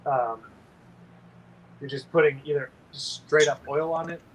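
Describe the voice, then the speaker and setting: A man's voice: a little high, steady tone, a little slow, low-quality recording. A man hosting a casual painting livestream, rambling and sleepy with lots of ums.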